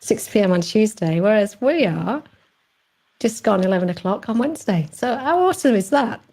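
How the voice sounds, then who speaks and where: A woman's voice: medium pitch, expressive, normal speed, darkish tone. A woman with a Sheffield accent hosting a Facebook Live interview over a video call, chatty and full of fillers and restarts. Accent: Sheffield English. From England. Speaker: host.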